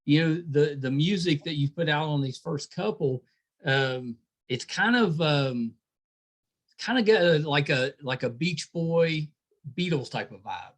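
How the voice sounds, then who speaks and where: A man's voice: on the high side, animated, measured pace. A man hosting a podcast, chatting casually as he interviews musical guests. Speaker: podcast host interviewing a band.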